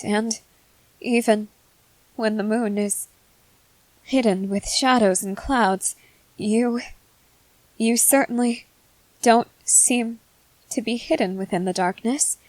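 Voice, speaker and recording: A woman plays a haughty, self-possessed schoolgirl in an ASMR roleplay. She speaks formally and deliberately at a medium pitch, with a sardonic edge. The sound is dry and close, with no room echo. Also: a little mellow. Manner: formal, articulate, measured speech with deliberate pauses. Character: composed, haughty junior high schoolgirl, amused and sardonic. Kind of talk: scripted ASMR roleplay monologue.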